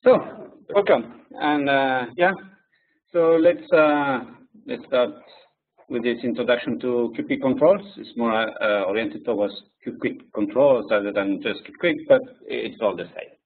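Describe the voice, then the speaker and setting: A man with a French and Spanish accent, a non-native English speaker, presenting a software webinar in a hesitant way with many fillers. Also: mid-range voice, measured pace. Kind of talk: live coding webinar. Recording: distant tinny phone-like audio.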